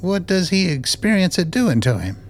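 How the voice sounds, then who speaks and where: A man's voice: high-pitched, very animated, moderate pace, slight background noise. An actor playing a stubborn, skeptical male scientist in an audio drama, with clipped, formal, questioning speech. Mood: wary, skeptical. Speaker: voice actor in a radio play.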